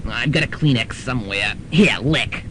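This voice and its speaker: A performer voicing a chatty, overfamiliar middle-aged psychic aunt in a student radio drama. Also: male voice, mid-range voice, moderate pace, a bit bright, slight background noise, natural voice. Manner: chatty, overfamiliar, then ominous.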